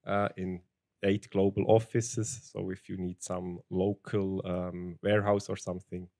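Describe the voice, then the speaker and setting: A man with a Swiss German accent and a fairly low voice explains technical material at a conference. The sound is dry and close, and his delivery is plain and steady. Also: measured pace, gravelly, dry recording. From Switzerland. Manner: plain, steady, with restarts and "basically". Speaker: conference speaker, field application engineer. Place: large conference hall with audience. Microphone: handheld microphone.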